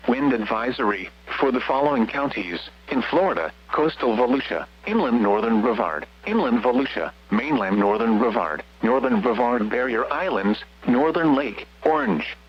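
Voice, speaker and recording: A synthetic man's voice reads a scripted weather bulletin over the radio in formal, impersonal, clipped phrases. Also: mid-range voice. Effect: radio broadcast. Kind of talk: scripted marine forecast and advisory bulletin. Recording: muffled, noisy weather radio with hum.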